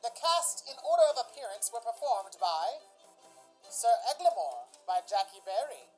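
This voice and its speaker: A woman's voice: fairly high pitch, very animated, moderate pace, faint music behind, rough recording. A woman playing a showy radio announcer, narrating a scripted drama in a theatrical, presentational old-time style. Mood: grand, presentational.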